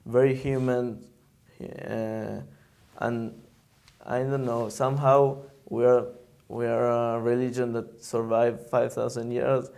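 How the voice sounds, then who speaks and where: A young Israeli man answers in halting, searching English. His voice is gravelly, with a touch of fry, and he stays flat and even in pitch. He is a guest speaking in an interview. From Argentina, raised in Israel. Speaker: camp counselor guest.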